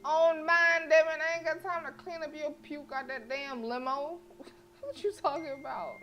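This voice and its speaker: A woman's voice: high-pitched, fairly even intonation, moderate pace, a bit bright, dull audio, slight background noise. A sassy, outspoken woman chatting casually and slangily as she streams a game and reacts to it. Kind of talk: casual livestream commentary, reacting and reading a visual novel aloud. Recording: music behind the voice. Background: plucked guitar game music.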